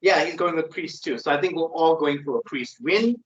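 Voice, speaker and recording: A man's voice: a little high, a little fast, a bit bright. Ranting man on a lo-fi podcast. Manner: opinionated, ranting. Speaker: podcast co-host. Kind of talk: casual wrestling predictions chat. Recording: dull lo-fi sound.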